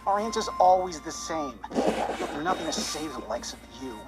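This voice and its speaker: A woman's voice: low voice, very animated, normal speed. A woman playing a blunt, scornful ordinary townswoman in a video game, indignant and emphatic. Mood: dismissive. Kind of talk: scripted video game voice acting. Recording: game music behind the voice. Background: game music.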